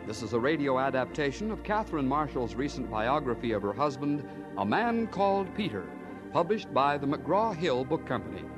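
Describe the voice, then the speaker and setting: A man's voice: mid-range voice, big pitch swings, moderate pace. Formal male radio announcer over organ music. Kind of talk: scripted old radio narration.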